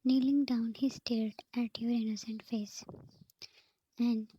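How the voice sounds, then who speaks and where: A woman narrating a story in a steady, soft unvoiced whisper. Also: a little high, slow, husky, lo-fi sound. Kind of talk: whispered fan fiction reading.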